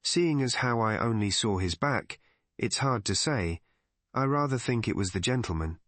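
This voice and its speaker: A man's voice: medium pitch, expressive, normal speed, fairly bright tone, no echo, natural voice. A man voicing a deferential English lady's maid in an audiobook, respectful, hesitant and plain-spoken. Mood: unsure, reluctant.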